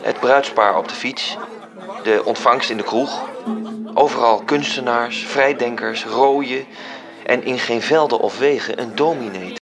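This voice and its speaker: An actor playing a measured, wry storyteller, delivering scripted literary narration in a radio drama. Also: male voice, mid-range voice, crowd noise, lightly acted. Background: music.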